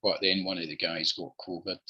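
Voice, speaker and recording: A man's voice: sits low, flat delivery, brisk, bright, forceful tone, breathy edge, dull audio. A man with a Scottish accent, chatting easily as the guest in a video-call interview and often repeating his phrases. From Scotland. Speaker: musician guest. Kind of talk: relaxed interview chat.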